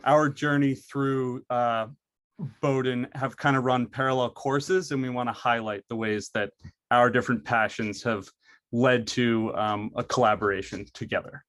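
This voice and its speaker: A man's voice: medium pitch, fairly even intonation, unhurried, a bit bright. A young man from Maine, a college student giving an earnest prepared presentation, with frequent fillers and restarts. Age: young adult.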